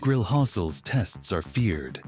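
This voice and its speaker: A man reading scripted documentary voice-over narration in a measured way. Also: sits low, very animated, darkish tone.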